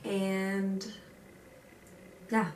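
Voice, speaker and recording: A woman's voice: mid-range voice, monotone, drawn-out words. Young woman vlogging in an echoey room. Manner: informal, emphatic, repeats phrases for encouragement. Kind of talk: casual chat to camera, storytelling and advice. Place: indoor room. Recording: roomy echo, distant mic, faint noise.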